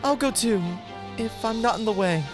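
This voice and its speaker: A woman playing a gentle, earnest, timid teenage boy in an anime-style audio drama. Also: fairly high pitch, moderate pace, over music, close to an everyday voice.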